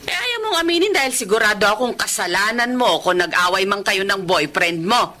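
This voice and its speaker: A woman's voice: medium pitch, lively intonation, fairly quick, sharp tone, a little hiss or hum. A Filipino woman acting in a radio drama as a critical, scolding middle-aged mother.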